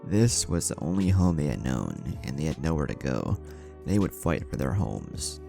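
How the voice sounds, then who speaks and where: A man's voice: extremely low pitch, very animated, moderate pace, gravelly, mellow. A man reading a scripted story aloud as narrator over music. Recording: music bed under the voice.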